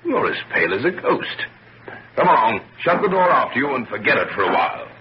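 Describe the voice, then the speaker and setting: An actor playing a kindly, concerned older gentleman father in an old radio serial, speaking formally and paternally. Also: mid-range voice, big pitch swings, brisk, close to an everyday voice. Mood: gently chiding. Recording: dull, noisy old radio recording.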